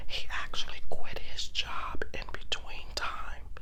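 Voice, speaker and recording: A person telling a true crime story in an ASMR style, whispering softly and deliberately, with pauses and repeated phrases. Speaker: host and storyteller of an ASMR channel.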